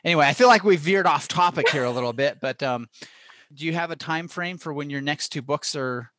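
A man's voice: fairly high pitch, fairly quick, a bit bright, dry recording. Informal, rambling man in a dry recording. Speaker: podcast host interviewing an author. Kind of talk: casual chat, opinionated tangents.

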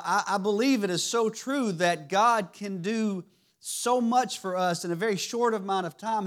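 Raspy man preaching a halting sermon. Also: sits high. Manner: halting, many restarts and fillers. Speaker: lay preacher. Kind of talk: church sermon teaching. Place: church sanctuary.

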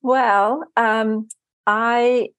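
A woman's voice: fairly high pitch, fairly even intonation, very slow, smooth, dry recording. A middle-aged woman raised in Germany, a podcast guest who talks casually about her faith and laughs easily. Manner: warm, laughs often, speaks with faith. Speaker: podcast guest, life coach. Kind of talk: casual interview chat.

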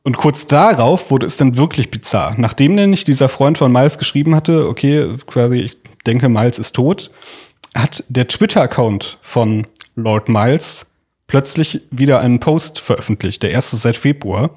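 A man's voice: medium pitch, moderate pace, a little mellow, slightly rough. A man telling a story as a podcast host in an informal, conversational way. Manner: informal, colloquial. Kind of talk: conversational storytelling, reading out quotes.

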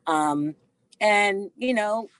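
A woman's voice: medium pitch, dry recording. A middle-aged woman from the Bahamas, a doctor and author, telling her life story earnestly in an interview with many fillers. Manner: slow and earnest, frequent fillers.

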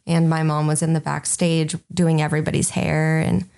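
Twenties woman, podcast guest, relaxed chatty delivery, lowish pitch. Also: moderate pace, vocal fry, smooth. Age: 20s. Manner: open and chatty, many "um"s. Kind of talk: casual interview chat.

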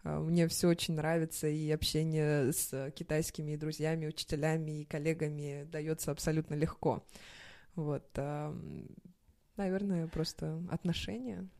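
Mellow low-voiced woman being interviewed. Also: fairly even intonation, moderate pace, creaky. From Russia. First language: Chinese and Russian. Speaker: interview guest. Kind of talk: conversational interview answers.

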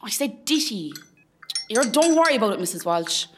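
A woman acts as a teasing Irish tea lady in a radio comedy. Her high voice moves up and down freely, with good-humoured, mock-exasperated banter. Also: sing-song, moderate pace, a bit bright, a little hiss or hum. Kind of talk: amateur radio comedy acting.

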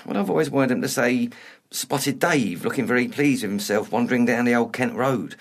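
An actor playing a bitter middle-aged ex-punk with a London accent, blunt, slangy and grumbling. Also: male voice, mid-range voice, fairly quick, close to an everyday voice. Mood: glum. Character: bitter, blunt washed-up male ex-punk, quick to bristle. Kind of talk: naturalistic dark comedy dialogue.

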